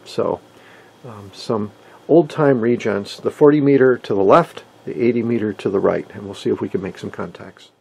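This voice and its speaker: A man giving a casual, halting, conversational explainer as an enthusiastic radio hobbyist. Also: fairly low pitch, vocal fry, darkish tone, breathy edge, rough recording. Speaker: amateur radio hobbyist presenter.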